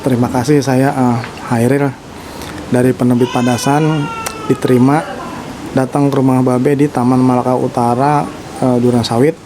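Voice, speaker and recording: A man interviewing an elder at his home, speaking hesitantly and filling pauses with "eh". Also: mid-range voice, flat delivery. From Indonesia. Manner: hesitant, with many fillers. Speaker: interviewer, publisher. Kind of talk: interview opening. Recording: noisy background.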